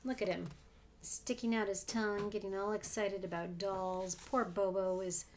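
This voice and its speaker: A woman reading a picture book aloud to children, expressive, with gently explanatory asides. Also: mid-range voice, normal speed, a little hiss or hum. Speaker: storybook reader for children.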